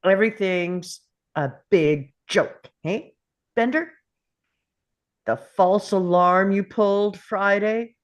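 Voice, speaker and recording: Woman playing a slow, menacing male school principal. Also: medium pitch, close to an everyday voice. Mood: mocking, scornful. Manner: taunting, contemptuous, drawn-out goading. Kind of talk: table read of a film script.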